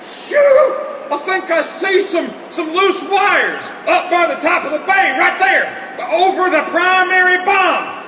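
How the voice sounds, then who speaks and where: An actor playing a gung-ho, devout and reckless American bomber pilot with a Southern drawl in a radio play. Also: male voice, very high-pitched, expressive, takes it slowly, some chatter behind, exaggerated voice. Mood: strained, shouting. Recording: roomy, loud aircraft drone noise.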